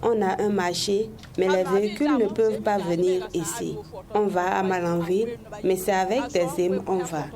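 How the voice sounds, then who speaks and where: A woman's voice: medium pitch, fairly even intonation, moderate pace. A woman, a local resident testifying in short colloquial sentences. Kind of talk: testimony to news camera. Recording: crowd chatter around the speaker.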